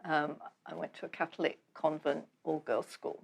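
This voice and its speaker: Woman, 60s, London accent, native Polish speaker, lowish voice, interview guest, steady storytelling. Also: gravelly, breathy edge. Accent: London English. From London, England. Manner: unhurried, recounting her life step by step. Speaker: guest author.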